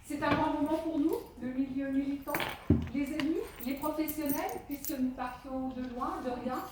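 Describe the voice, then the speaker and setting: A woman giving an opening speech at a public meeting as its chair, speaking slowly and haltingly with many pauses. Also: high-pitched, fairly even intonation. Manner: deliberately slow, halting delivery with many pauses. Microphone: handheld portable microphone. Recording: echoey hall, distant microphone, faint noise.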